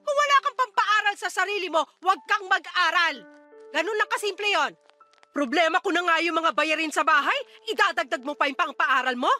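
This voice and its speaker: A woman's voice: very high-pitched, a little fast, pushed and bright, soft background music. An actress playing a harsh, angry mother in a Filipino radio drama, scolding and insulting her child impatiently. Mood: dismissive, irritated.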